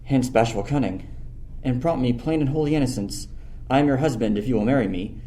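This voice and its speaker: A student actor playing a bashful, innocent and devoted young lover in a Shakespearean radio play. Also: male voice, medium pitch, a little fast, dark tone, natural voice. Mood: tender, earnest. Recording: faint noise, ambient sound effects.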